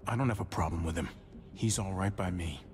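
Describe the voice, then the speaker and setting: An actor playing a glib younger brother and survivor in a video game, casual and wryly diplomatic. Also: male voice, deep voice, a little fast, background noise, natural voice. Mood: guarded, low-key. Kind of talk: naturalistic dramatic game acting. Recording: long reverb, music under the voice.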